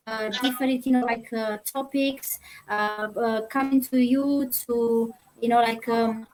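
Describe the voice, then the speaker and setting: A woman hosting a live online panel chat, talking haltingly with many "you know" fillers. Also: high voice, a bit bright, a little hiss or hum, rough recording. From Romania. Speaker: livestream host.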